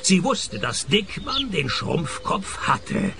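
A man acting a wry, deadpan private detective in a radio play. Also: high voice, slow pace, gravelly, exaggerated voice. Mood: matter-of-fact accusing. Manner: dry, deadpan. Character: wry, deadpan private detective narrating his own cases.

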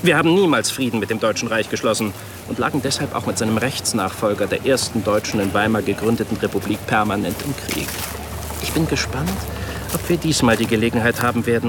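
Actor as erudite, formal army sergeant, noisy surroundings. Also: male voice, on the low side, expressive, fast talker, close to an everyday voice. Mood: erudite, assured. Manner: formal, polite, eloquent. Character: erudite Iroquois US Army sergeant, seasoned, supremely self-assured scout. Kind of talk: German radio play dialogue. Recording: clearly noisy outdoor ambience behind.

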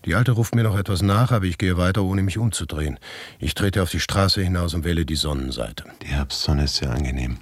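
An actor playing a restless, irritable writer who narrates his own absurd story in a radio play, with a gravelly, husky voice and an intimate delivery that often drops toward a whisper. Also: deep voice, brisk, dry recording. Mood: measured, uneasy. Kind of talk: dramatic first-person radio play narration.

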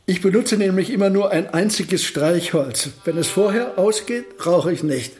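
An older actor in a German radio play playing a polite, wry, absent-minded elderly physics professor. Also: male voice, sits high, normal speed, a little mellow, close to an everyday voice. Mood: gently mischievous.

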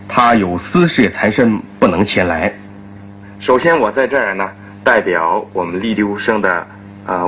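Animated male radio host, formal and courteous. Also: lowish voice, poor audio. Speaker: radio host moderating a panel. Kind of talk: formal announcing, moderating a discussion. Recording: old radio recording, faint hum.

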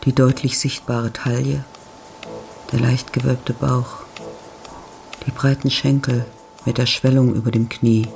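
Gravelly man narrating, sober delivery. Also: mid-range voice, slight background noise. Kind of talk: scripted literary narration in a radio play. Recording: studio voice over soft music. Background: faint music.

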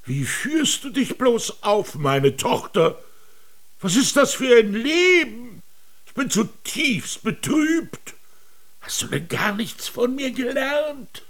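A man's voice: extremely high pitch, big pitch swings, slow pace, fairly bright tone, exaggerated voice. An actor playing a limp, self-pitying older king in an absurdist radio drama, plaintive and theatrical. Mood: reproachful.

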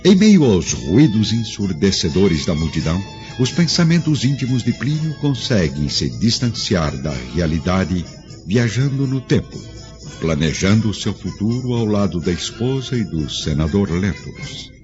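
A man's voice: on the low side, sing-song, moderate pace. A man giving dramatic, emphatic narration of a radio drama. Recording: dull lo-fi sound with music. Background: music.

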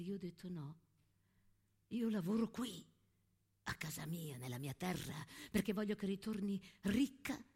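A woman playing a plain, determined young peasant woman in an intimate, soft-spoken dramatic monologue. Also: lowish voice, voice pushed down. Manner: soft, simple, earnest. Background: music.

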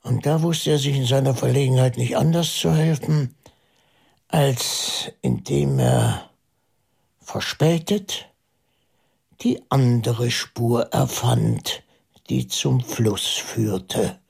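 Husky, deliberate priest sleuth. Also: male voice, mid-range voice, animated, full character voice. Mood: pensive deduction. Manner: deliberate, probing, dropping into hushed asides. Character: shrewd, pensive priest sleuth reasoning out a murder. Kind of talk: German radio drama acting.

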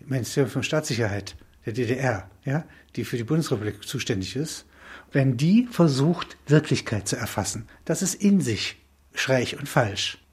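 A man with a husky voice speaks as an interview guest in a spontaneous intellectual discussion, in halting, emphatic phrases with little tag questions. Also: mid-range voice, expressive. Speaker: interview guest explaining a film concept.

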